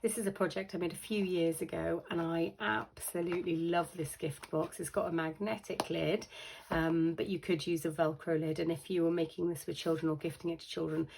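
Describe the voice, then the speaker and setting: A British woman with a croaky voice hosting a casual craft tutorial, chatty and full of ums as she explains each step. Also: lowish voice, steady tone, fairly quick, darkish tone, slight background noise. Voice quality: croaky, with a lingering cough. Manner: chatty, with frequent ums and self-corrections.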